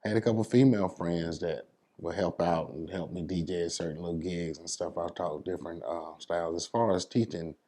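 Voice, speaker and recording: A man's voice: low-pitched, fairly even intonation, a little fast, warm tone. An American man, a DJ in an interview, explaining things casually and often checking that he is understood. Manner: explanatory, repeats "you know what I'm saying". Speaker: DJ and entertainer being interviewed.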